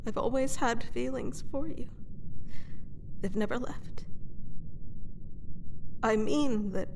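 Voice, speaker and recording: A woman playing a proud, reckless captured warrior queen who is wounded and secretly in love, in a theatrical, halting fantasy audio roleplay. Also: a little high, vocal fry, darkish tone, slight background noise. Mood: flustered, confessing. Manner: theatrical, halting, emotional delivery. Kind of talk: dramatic fantasy audio roleplay addressed to the listener.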